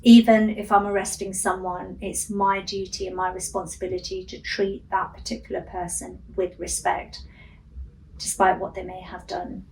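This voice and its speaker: A woman's voice: medium pitch, level tone, normal speed, vocal fry, a little mellow. A middle-aged woman police officer whose first language is Urdu, talking earnestly in a radio interview with many ums. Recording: distant mic with faint noise.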